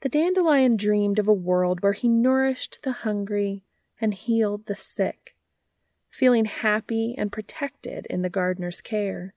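A woman's voice: mid-range voice, vocal fry, darkish tone, no echo, clean studio sound. A woman hosting and reading stories aloud in scripted storybook narration, with music behind her. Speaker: podcast host and fan fiction reader.

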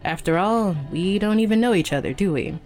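An actress playing a friendly, slightly shy young coworker who chats casually in an audio drama. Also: female voice, mid-range voice, animated, fairly quick, darkish tone, soft background music, natural voice. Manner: casual, chatty, hesitant.

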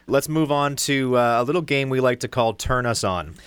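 A man's voice: mid-range voice, normal speed, fairly bright tone, clear, resonant tone, dry recording. Dry, close-sounding man hosting a chat. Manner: informal, conversational. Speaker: podcast co-host, interviewer. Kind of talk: casual interview chat.

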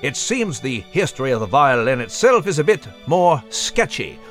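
Pompous, theatrical newsreader with swooping pitch. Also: male voice, big pitch swings, moderate pace, a bit bright, faint music behind. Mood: pompous, mock-solemn. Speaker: news segment presenter. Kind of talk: mock news commentary.

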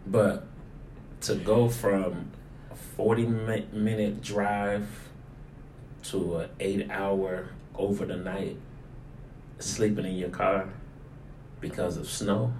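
Low-voiced male pastor in a roomy space. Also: steady tone, slow, long pauses, darkish tone. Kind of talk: casual conversational discussion of a sermon. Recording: roomy echo with clear background noise.

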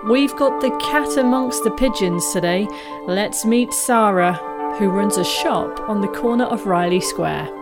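A woman's voice: medium pitch, measured pace. A woman presenter reading a scripted introduction as a voice-over. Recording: loud music under the voice.

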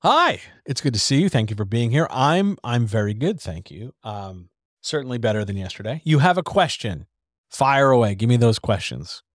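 A man hosting a casual writers' chat, explaining craft in a loose, conversational way full of asides and fillers. His voice sits at an everyday middle pitch. The sound is dry and close, with no room around it. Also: very animated, moderate pace, no echo. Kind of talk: casual live-stream teaching chat.

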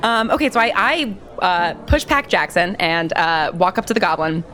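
A woman's voice: fairly low pitch, moderate pace, sharp tone, slight background noise. A woman playing a tabletop roleplaying game, chatting and bargaining in a casual, informal way. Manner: informal, peppered with "like". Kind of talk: casual chat and in-character bargaining. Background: music.

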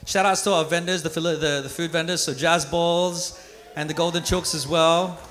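A man's voice: on the high side, fairly even intonation, normal speed, fairly bright tone. Self-mocking man doing stand-up. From Philippines, raised in Australia. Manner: self-deprecating, loose with restarts. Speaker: stand-up comedian. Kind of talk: live stand-up comedy set. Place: live venue with an audience. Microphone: stage microphone.

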